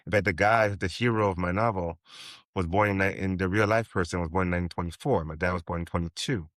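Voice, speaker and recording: A man's voice: low-pitched, fast talker, fairly bright tone, no echo, clean studio sound. A man in his fifties from Haiti, a novelist guest talking in a rambling way with many restarts and ums. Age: 50s. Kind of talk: interview conversation.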